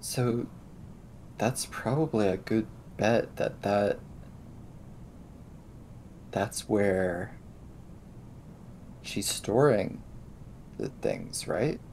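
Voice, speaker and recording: Man, slightly low voice, casual game talk, halting as he reasons aloud, faint rumble of noise. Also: wildly swooping pitch, creaky, darkish tone, low-quality recording. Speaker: tabletop role-playing game player. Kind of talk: casual in-character game discussion.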